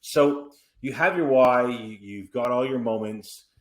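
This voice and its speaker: A man in his forties hosting a podcast, telling stories in an animated, rambling way with many restarts and asides. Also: medium pitch, measured pace. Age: 40s. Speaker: podcast host. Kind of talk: casual conversational interview. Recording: roomy echoey room.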